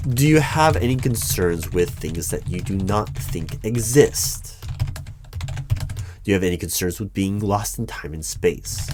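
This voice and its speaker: Male actor as exasperated hiring manager for a covert bureau, low voice, nervous, officious, apologetic, ASMR interview roleplay. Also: slight background noise. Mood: businesslike, officious. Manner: halting, apologetic, officious, wordy. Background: computer keyboard typing.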